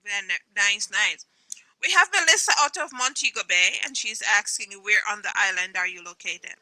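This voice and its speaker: A woman hosting an interview, upbeat, with frequent ums, giving shout-outs. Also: medium pitch, moderate pace, no echo, poor audio. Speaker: interview host.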